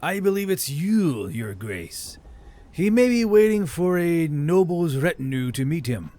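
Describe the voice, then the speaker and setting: A man's voice: a little high, big pitch swings, a little slow, dark tone, pitched above the performer's own voice. A man voicing a dutiful bearded town guard captain in a fantasy audiobook, with formal, old-fashioned speech.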